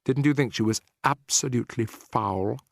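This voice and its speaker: A man voicing an excitable young boy who has been turned into a mouse, speaking eagerly in a storybook reading. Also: mid-range voice, normal speed, creaky, dry recording, studio quality, natural voice. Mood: excited.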